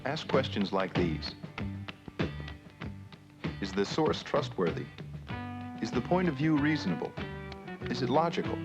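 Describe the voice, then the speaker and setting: A man narrating an educational film in a measured, instructional manner, with questions put to the viewer. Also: mid-range voice. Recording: muffled 1970s educational film soundtrack with music.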